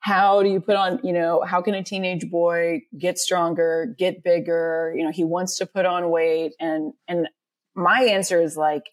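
Middle-aged woman hosting, slightly low voice. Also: little pitch movement, measured pace. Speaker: podcast host. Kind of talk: casual conversational interview.

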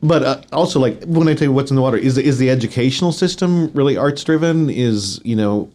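A man's voice: mid-range voice, quick pace, warm tone. American host guy, joking around, laughs at everything, trips over the first words. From United States. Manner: jokey, laughs a lot, stammers on starts. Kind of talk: interview questions, casual chat.

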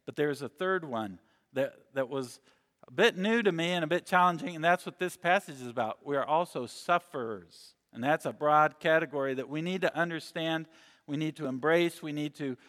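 A man raised in Mexico preaching an earnest sermon in a church sanctuary, with a voice pitched somewhat high for a man. He slows down and drops his voice low when he reads scripture or presses a point. A long reverb trails his words through the hall. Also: a bit bright.

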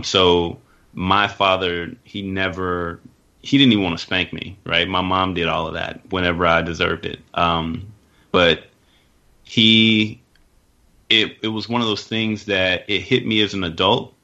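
A man on a podcast, telling a personal story in a measured, earnest way. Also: deep voice, bright, forceful tone, lo-fi sound.

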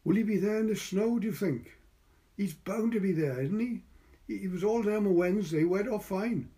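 A man's voice: sits high, moderate pace, dark tone, voice pushed up, lightly acted. A man reading the part of a bossy but fond young housemaid in a storybook. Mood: anxious, gossipy.

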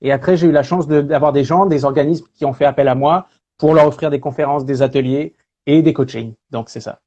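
Male guest, French, easygoing, warm mellow tone, hesitant fillers. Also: on the high side, a little fast, lo-fi sound. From France. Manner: relaxed, lots of hesitation fillers. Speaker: guest, speaker and singer. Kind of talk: casual interview talk.

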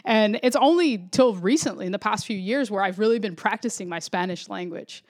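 A woman's voice: mid-range voice, brisk, a little mellow. A woman pastor from Florida, preaching a sermon in an earnest, reflective way, often restarting phrases.

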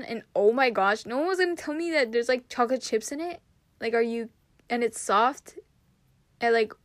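Rambling raspy-voiced young woman, dry close sound. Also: fairly high pitch, dry recording. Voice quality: raspy, tired voice. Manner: rambling, filler-heavy, giggly. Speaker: podcast host. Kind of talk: casual solo podcast chat.

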